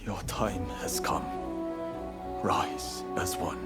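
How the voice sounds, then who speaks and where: An actor playing an earnest, impassioned young samurai revolutionary in a video game, with a solemn, declamatory delivery. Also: male voice, sits low, fairly even intonation, extremely slow, over loud music. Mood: solemn, resolute. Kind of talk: dramatic video game cutscene acting.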